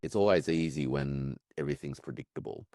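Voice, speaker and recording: A man speaking as an interview guest, thoughtful and reflective, pausing with "um" as he works through his analysis. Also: rumbling low, a little mellow, dry recording, studio quality.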